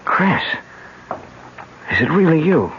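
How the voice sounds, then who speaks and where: An actor playing a blunt, suspicious American friend in a radio drama. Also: male voice, on the high side, sing-song, slow, background noise, heavily acted. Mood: astonished. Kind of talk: 1970s radio drama acting. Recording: muffled old radio recording.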